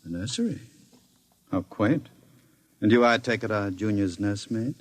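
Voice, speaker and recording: Casting: gentleman gangster, low voice, smooth, sardonic, menacing, old-time radio drama. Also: animated, normal speed. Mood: suave, mocking. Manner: smooth, sardonic, mockingly courteous. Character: suave, menacing gentleman gangster, mockingly polite. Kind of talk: 1950s radio drama acting.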